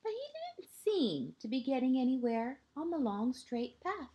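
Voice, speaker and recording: A woman reading a children's picture book aloud like a kindergarten teacher, expressive and deliberate, with dramatic pauses and emphasis for young listeners. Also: high voice. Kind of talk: children's storybook read-aloud.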